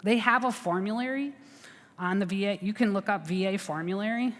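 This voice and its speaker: A woman's voice: mid-range voice, brisk, big-room sound. A woman advising people on veterans benefits in a chatty, reassuring way, with lots of fillers and restarts. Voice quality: big voice. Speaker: veterans service officer. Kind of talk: informal advice talk.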